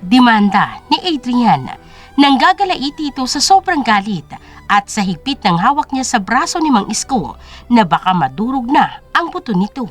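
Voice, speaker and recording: A woman's voice: fairly high pitch, very animated, normal speed, faint music behind. A woman narrating a scripted story as a dramatic audio drama.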